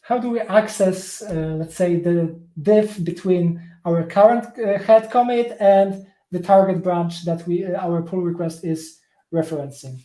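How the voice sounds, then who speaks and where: Polish-accented male tech presenter, slow and halting, filler-heavy delivery, mellow voice, roomy echo. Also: high voice. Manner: halting, many fillers and restarts. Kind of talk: live coding talk.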